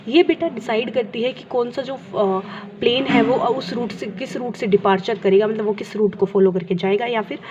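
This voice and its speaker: Female teacher, higher-pitched, methodical classroom explainer who poses and answers questions; noisy audio. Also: moderate pace. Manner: teacherly, asks and answers own questions, mixes in English terms. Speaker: school computer teacher. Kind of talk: explanatory lesson, reading and paraphrasing textbook. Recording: dull lo-fi with clear background noise.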